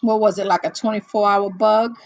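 A middle-aged woman hosting a casual livestream chat, rambling and chatty with lots of fillers and laughs, a little hoarse as she loses her voice. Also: mid-range voice, fairly even intonation, brisk, a little mellow, no echo, highs cut off, faint music behind. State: losing her voice, slightly hoarse. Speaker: livestream host. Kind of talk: casual chat with live viewers.

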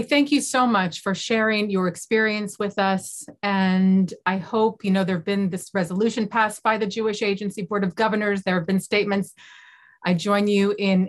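Measured woman news host. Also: mid-range voice. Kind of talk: news interview hosting.

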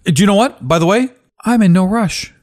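A man hosting a casual podcast chat, with a deep voice and a joking, blunt way of talking that drops casual swearing. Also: expressive, a little fast, a little mellow. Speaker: podcast host.

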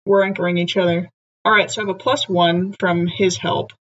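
A woman's voice: lowish voice, steady tone, fairly quick, fairly bright tone, a little hiss or hum. A woman playing a tabletop game, thinking aloud as she weighs her options. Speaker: player. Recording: slightly dull lo-fi mic.

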